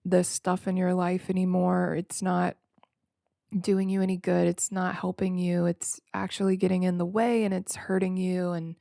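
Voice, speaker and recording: A woman hosting a podcast alone in a casual, freestyle way, slightly nasal, reflective and rambling, often halting and repeating words. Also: fairly low pitch, little pitch movement, creaky, warm tone, no echo, clean studio sound. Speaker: podcast host.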